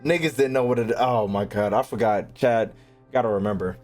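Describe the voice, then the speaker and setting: A man's voice: medium pitch, a little fast, a little mellow. A young American man giving casual, slangy, joking live game commentary as a streamer. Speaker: gaming streamer. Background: faint game music.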